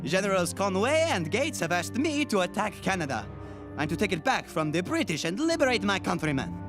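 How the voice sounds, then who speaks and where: A gallant young officer in a cartoon, high lively voice, earnest and formal, music underneath. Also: male voice, brisk. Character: young French nobleman officer, eager and gallant.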